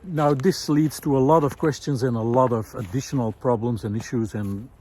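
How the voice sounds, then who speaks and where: A man's voice: medium pitch, fairly even intonation, moderate pace, a little mellow, a little hiss or hum. A man hosting a vlog, giving a reflective, unscripted talk with thoughtful, hesitant phrasing while walking outdoors. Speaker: vlog host. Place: outdoors in a snowy park, walking.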